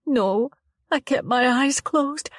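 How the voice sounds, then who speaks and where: A frightened young woman speaks haltingly, her voice higher than most and trembling, as a woman reads her part. The sound is dry and close, with no room around it. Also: dry recording. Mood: upset. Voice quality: trembling, halting and upset, rising higher when distressed. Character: young woman, shaken and tearful after an attack. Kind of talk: dramatized audiobook reading.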